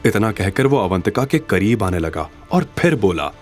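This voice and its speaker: A man's voice: on the low side, sing-song, normal speed. A man narrating a scripted audio drama story in a dramatic style. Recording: faint music behind.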